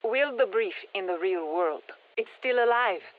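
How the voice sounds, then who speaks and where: A woman playing a brisk, tech-minded science operative in a video game, giving matter-of-fact, wry mission instructions over a radio filter. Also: mid-range voice, lively intonation, no echo, phone-quality sound. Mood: brisk, focused. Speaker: mission handler. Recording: thin band-limited radio comms sound.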